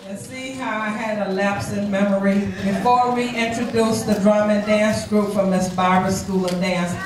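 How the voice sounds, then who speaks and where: A woman's voice: medium pitch, steady tone, moderate pace, background noise. A woman hosting a community celebration, teasing the crowd and leading a call-and-response chant. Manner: leads chants, warm teasing banter. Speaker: event host. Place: community event in a library hall. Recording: echoey hall from far off with crowd.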